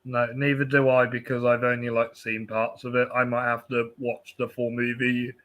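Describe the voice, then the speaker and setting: A man's voice: mid-range voice, monotone, moderate pace, fairly bright tone. Casual man with a mid-range voice. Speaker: podcast co-host. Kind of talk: casual film discussion.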